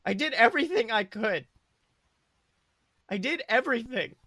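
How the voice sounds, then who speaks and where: A man's voice: extremely high pitch, lively intonation, moderate pace, pushed and bright, no echo, voice pushed up, heavily acted. A man voicing a proud, earnest schoolboy wizard who tells his own story with emphatic delivery. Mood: indignant. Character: boy Slytherin first-year student, proud, indignant, sorry. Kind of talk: first-person dramatic story reading.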